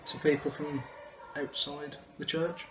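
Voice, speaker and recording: A man's voice: a little high, little pitch movement, measured pace, fairly bright tone. A man chatting casually in an interview as an amateur cast member. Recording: echoey room with faint noise.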